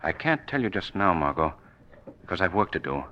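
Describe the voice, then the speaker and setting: Male, self-assured gentleman sleuth, 1940s radio style, forceful and articulate, muffled vintage sound. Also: sits low, sing-song, fairly quick, heavily acted. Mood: grave, assured. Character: urbane, commanding gentleman detective, self-assured. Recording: dull 1940s radio recording.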